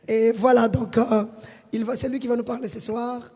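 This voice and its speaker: High-voiced male pastor in a hall. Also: a little mellow, slightly rough. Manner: exhorting, emphatic, hesitant with fillers. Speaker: pastor introducing the guest speaker. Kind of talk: church introduction. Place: large church hall. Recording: long church hall reverb.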